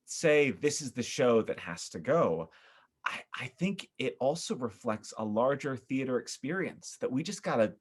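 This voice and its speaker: A man's voice: mid-range voice, sing-song, moderate pace, husky. American man chatting animatedly on a podcast. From upstate New York, United States. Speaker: podcast guest. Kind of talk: casual podcast conversation.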